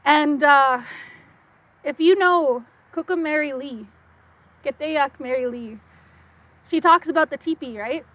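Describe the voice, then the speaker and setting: A young woman speaking English with a slight French accent, giving an excitable conference talk with quick bursts and many fillers. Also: high-pitched, no room sound, highs cut off. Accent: French-tinged Canadian English. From Saskatchewan, Canada. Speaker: guest speaker.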